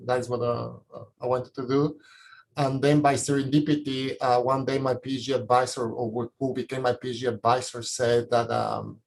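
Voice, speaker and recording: A man with a Latin American Spanish accent, a scientist panelist informally recounting his career, often searching for words. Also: medium pitch. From Ecuador and Colombia. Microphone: video call. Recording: noisy video-call audio.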